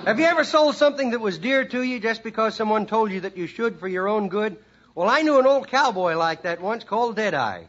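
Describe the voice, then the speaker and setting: Radio comedy host, male, high animated voice, dull vintage recording with music. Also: fairly quick, slight background noise. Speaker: host introducing a comedy sketch. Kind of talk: old-time radio comedy monologue.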